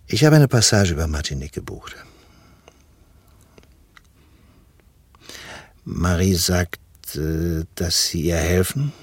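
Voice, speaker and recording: Deep, husky, resigned doctor. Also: male voice, dry recording, exaggerated voice. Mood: politely businesslike. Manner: hesitant, deliberate explaining. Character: weary, resigned male doctor fleeing the war. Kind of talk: dramatic radio play acting.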